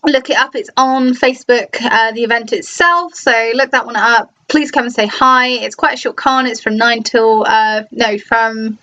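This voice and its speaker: A woman's voice: a little high, steady tone, normal speed, hardly any pauses, sharp tone. A young woman, chatting casually in a home vlog, hesitant and full of restarts. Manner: hesitant, lots of restarts and ums. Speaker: cosplayer and vlogger. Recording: slightly dull lo-fi sound.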